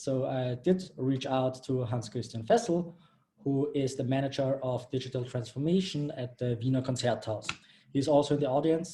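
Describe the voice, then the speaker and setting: A man in his thirties from Austria, presenting his final project on a video call. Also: medium pitch. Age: 30s. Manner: a little flustered by screen-sharing trouble, then steady and explanatory. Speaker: bootcamp graduate presenting a project. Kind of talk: live-streamed project presentation.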